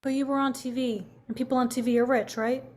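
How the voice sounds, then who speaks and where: A woman's voice: sits high, flat delivery, quick pace, a little mellow, a little hiss or hum, close to an everyday voice. A woman playing a pushy, sassy young daughter in a comedy table read, with an eager manner.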